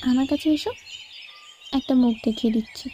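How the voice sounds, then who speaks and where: A woman's voice: high-pitched, moderate pace, dark tone, a little hiss or hum, natural voice. A woman playing a young, caring and curious female companion in an audio story. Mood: soft, coaxing. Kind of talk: audio story dramatic acting.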